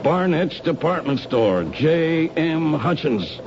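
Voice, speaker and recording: A man's voice: fairly high pitch, big pitch swings, takes it slowly. A man playing a wry, probing police lieutenant in a 1970s radio mystery. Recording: dull noisy old radio broadcast recording.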